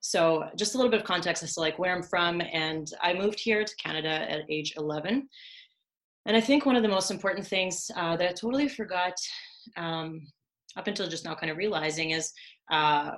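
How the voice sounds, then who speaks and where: Woman, low pitch, from Moldova, native Russian speaker, podcast guest, thoughtful, lots of ums. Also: a little fast, a bit bright. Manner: thoughtful, with many ums and restarts. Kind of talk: casual interview answers.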